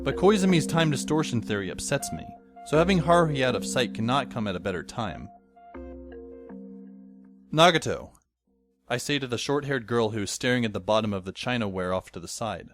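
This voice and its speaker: A man's voice: medium pitch, close to an everyday voice. A man playing a wry, weary teenage schoolboy who narrates an audio drama in a dry, sardonic way. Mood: weary, uneasy. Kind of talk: first-person scripted audio drama narration. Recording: music under the voice.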